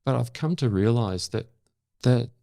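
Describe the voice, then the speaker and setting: A seventy-year-old Australian man talking reflectively as an interview guest, thoughtful and halting, repeating words for emphasis. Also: medium pitch, animated, creaky, warm tone, no room sound, studio quality. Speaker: interview guest, former journalist and actor.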